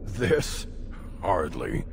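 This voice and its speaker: Proud grumbly dwarf stonemason from a fantasy game, creaky low voice, rumbly background noise. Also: male voice, wildly swooping pitch, very slow, full character voice. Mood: disdainful. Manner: grumbling, disdainful, blunt. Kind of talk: video game voice acting.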